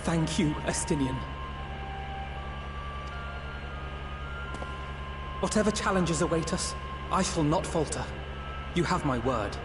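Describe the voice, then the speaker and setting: A dignified nobleman, played by a man, a little higher than average in pitch. He is courteous and earnest in a game cutscene, with clear noise behind him. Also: expressive, moderate pace, lightly acted. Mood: resolute. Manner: formal, courteous, sincere. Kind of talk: dramatic video game cutscene acting.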